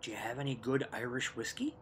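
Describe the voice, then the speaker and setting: Man playing a bright, wisecracking dockworker. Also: mid-range voice, steady tone, fast, a little hiss or hum, lightly acted. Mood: curious. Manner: jocular, needling. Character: joking, impatient dockworker husband, a wisecracker. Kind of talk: radio play acting.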